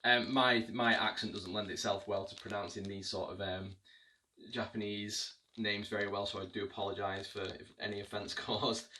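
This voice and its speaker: A man's voice: fairly low pitch, little pitch movement, moderate pace, pushed and bright, far from the mic, slight background noise, poor audio. A man giving a casual unboxing review of tabletop miniatures, chatty and hesitant, with many ums and restarts.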